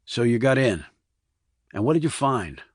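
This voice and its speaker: A man playing a steady ex-Army investigator in an audiobook, direct and controlled as he questions a witness. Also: mid-range voice, moderate pace, husky, dry recording, studio quality. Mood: insistent.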